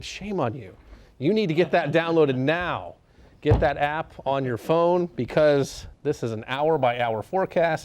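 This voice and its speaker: A man's voice: mid-range voice, darkish tone. A man with an American accent, a TV weather forecaster talking informally with folksy emphasis. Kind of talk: informal, conversational weather promo.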